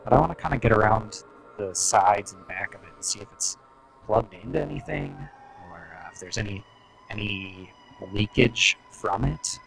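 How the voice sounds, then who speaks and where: A man's voice: on the low side, expressive, normal speed, vocal fry, a bit bright, soft background music, rough recording. A man playing a tabletop game, hesitant and thinking aloud about his moves. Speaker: player. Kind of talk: casual game chat.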